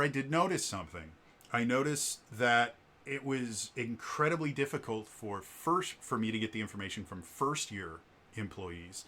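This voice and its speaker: Chatty bass-voiced man with a stutter. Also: pushed and bright, slight background noise. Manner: stutters on repeated sounds; explanatory, chatty, with many fillers. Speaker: vlogger. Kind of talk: casual commentary vlog.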